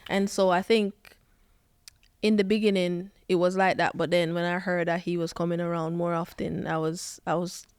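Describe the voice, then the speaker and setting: A woman's voice: lowish voice, moderate pace, clean tone, dry recording, clean studio sound. A Jamaican woman in her twenties, a footballer telling stories as a podcast guest and acting out what people said. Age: 20s. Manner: animated storytelling, acts out quoted lines. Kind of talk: podcast interview.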